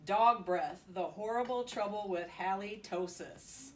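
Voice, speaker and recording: Woman, storybook read-aloud, slow pace, playful expressive delivery, distant echoey mic. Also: mid-range voice. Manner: giggly, expressive reading with playful asides. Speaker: children's storybook reader. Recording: roomy, distant mic with faint noise.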